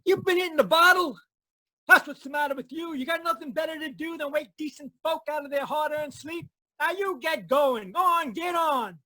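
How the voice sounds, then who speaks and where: Lady doing a cranky suspicious country storekeeper, high sharp scolding voice, old radio play over video call. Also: moderate pace, no echo, rough recording. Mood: indignant. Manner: scolding, folksy. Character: cranky, suspicious rural roadside stand keeper. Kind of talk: old-time radio drama acting. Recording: live video-call performance.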